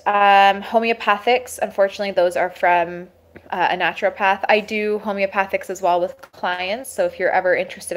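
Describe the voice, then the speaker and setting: Woman host of a casual live Q&A, middle pitch, voice worn by a sore throat, relaxed advisory delivery full of fillers. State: sore throat, losing her voice. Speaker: holistic health host. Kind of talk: live Q&A, reading and answering viewer questions. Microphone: webcam or phone livestream.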